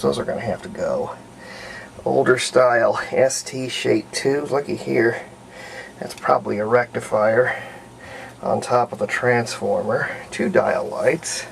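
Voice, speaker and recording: A man's voice: medium pitch, creaky, a little hiss or hum. A man with a folksy way of talking narrates hands-on repair work casually, thinking aloud. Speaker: radio restoration hobbyist.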